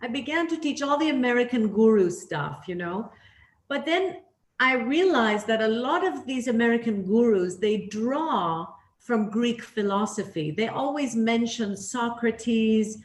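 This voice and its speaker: A woman's voice: on the high side, animated, a little slow. A middle-aged woman raised in Canada, telling her career story as an interview guest in a candid, reflective way with frequent fillers and restarts. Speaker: interview guest, leadership trainer. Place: living in Greece. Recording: echoey room.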